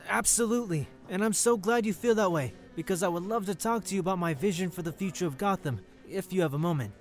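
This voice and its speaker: A man's voice: high-pitched, quick pace, faint music behind, natural voice. A man playing an affable, ambitious district attorney in an audio drama, polished and courteous. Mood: courteous, eager.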